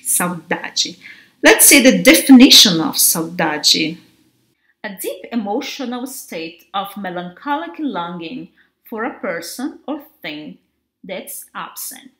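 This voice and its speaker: Female teacher in her 30s, bright tone, unhurried and gentle. Recorded in a bare, echoey room. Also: mid-range voice, very animated. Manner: gentle, enunciates each word slowly. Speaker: language teacher. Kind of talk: slow, careful teaching to camera. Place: large, bare indoor room. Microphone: camera mic at desk distance. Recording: roomy echo of an empty room.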